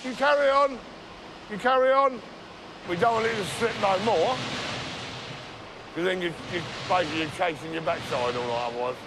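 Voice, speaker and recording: A man's voice: sits high, normal speed, pauses often. A man, talking plainly and colloquially as a construction foreman. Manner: colloquial, non-standard grammar. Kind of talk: blunt unscripted work talk. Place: underground tunnel worksite. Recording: clear vehicle and site noise.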